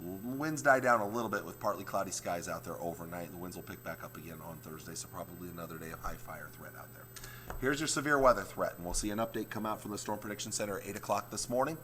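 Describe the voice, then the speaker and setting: Hoarse male TV meteorologist, very deep voice, faint hum behind. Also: fast, a bit bright. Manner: explains maps step by step, repeats points. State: hoarse, losing his voice. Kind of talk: morning weather forecast.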